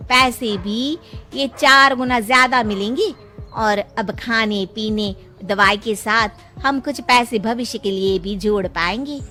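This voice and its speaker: A woman voicing a dutiful, resolute young village daughter-in-law in a Hindi animated moral story, respectful and earnest. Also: fairly high pitch, a little slow, faint music behind.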